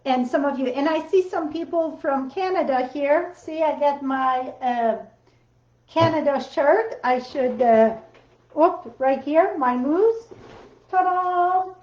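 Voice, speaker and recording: A woman's voice: sits high, slow pace, a little mellow. A woman with a French accent hosting a casual card-making livestream from her home craft room, chatty and rambling, with loose grammar. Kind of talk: casual live demo chat. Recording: roomy, slightly dull sound.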